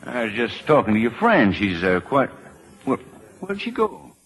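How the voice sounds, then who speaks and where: A man's voice: medium pitch, big pitch swings, normal speed, a bit bright, husky, heavily acted, low-quality recording. An actor playing a shrewd, persistent, folksy government lawman in an old-time radio drama, informal and a little hesitant. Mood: urging. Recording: vintage radio broadcast recording.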